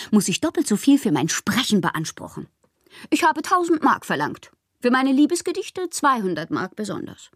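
A woman's voice: medium pitch, slightly rough, no room sound, studio quality. An actress playing an impassioned, proud and whimsical woman poet who reads out her letters theatrically in a radio drama. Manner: theatrical, capricious, swinging from wounded to playful.

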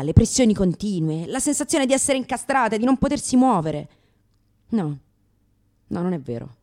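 A woman's voice: lowish voice, mellow, close to an everyday voice. An actress in a radio theatre monologue playing a brash, sarcastic, exasperated new mother in her thirties. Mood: fed up, then reluctantly tender, wry. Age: 30s.

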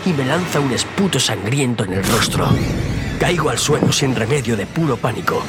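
A man narrating a first-person horror story in a dramatic, suspenseful, theatrical manner. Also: mid-range voice. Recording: loud music under the voice. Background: loud music.

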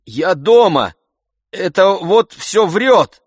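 A man playing a querulous, hot-tempered idle gentleman in a literary audiobook, given to indignant, whining exclamations. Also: high voice, sing-song, very slow, breathy edge, no room sound, studio quality, voice pushed up, exaggerated voice. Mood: exasperated.

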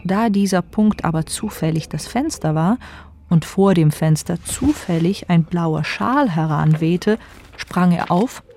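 A woman's voice: on the low side, a little slow, warm tone, a little hiss or hum. A woman reading scripted literary narration as the narrator of a radio drama.

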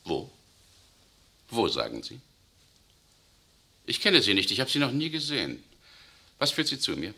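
A man acting in a 1960s radio play as a tense German SS officer who secretly resists, speaking urgently, swinging between outbursts and hushed confession. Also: medium pitch, wildly swooping pitch, a little slow, creaky, pauses often, slightly rough, heavily acted. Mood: suspicious. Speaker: radio drama actor.

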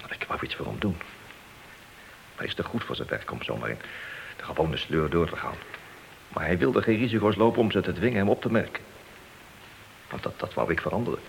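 Deep, gravelly, remorseful policeman. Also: animated, a little hiss or hum, rough recording. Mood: earnest, subdued. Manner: apologetic, earnest, halting. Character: remorseful, earnest male police officer, defending himself. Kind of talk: radio play acting.

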